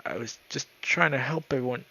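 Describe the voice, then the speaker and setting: Visual novel weasel, voiced by a man: raised whiny pitch, cowering and desperate. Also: a little fast, pushed and bright. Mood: pleading.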